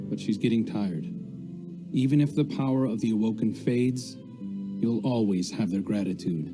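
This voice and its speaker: Sincere, gentle male ally for game drama , male actor: lowish pitch, earnest and reassuring, music underneath. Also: close to an everyday voice. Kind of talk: dramatic video game dialogue.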